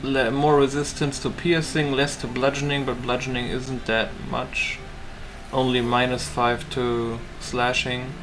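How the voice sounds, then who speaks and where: A man's voice: mid-range voice, level tone, unhurried, background noise. A man giving casual gameplay commentary, thinking aloud as he hosts a gaming video. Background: game music.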